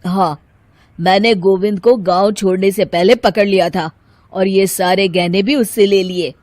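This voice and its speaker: A woman voicing a patient, concerned family member in a cartoon story, speaking in a measured, earnest way. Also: mid-range voice, steady tone, a little mellow, dry recording, soft background music, close to an everyday voice. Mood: patient, forgiving. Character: concerned, patient mother-in-law and gentle forgiving husband. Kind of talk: Hindi cartoon story voice acting.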